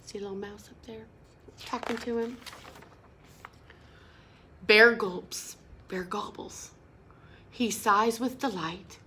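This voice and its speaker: A woman reading a picture book aloud to children in a sing-song, expressive way, pausing to explain words and ask questions. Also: mid-range voice, a little hiss or hum. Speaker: storybook reader.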